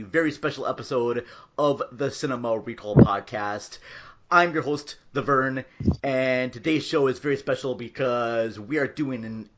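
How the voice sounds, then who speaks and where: A man's voice: medium pitch, fairly bright tone. A man hosting a podcast in a casual, somewhat hesitant and apologetic way, with informal introductions. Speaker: podcast host. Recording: dull lo-fi voice recording.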